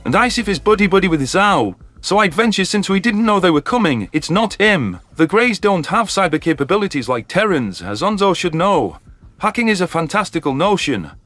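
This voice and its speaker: A man's voice: high-pitched, big pitch swings, normal speed, fairly bright tone. A man voicing a skeptical, sardonic male alien officer who argues scornfully in a science fiction audiobook. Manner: sardonic, argumentative.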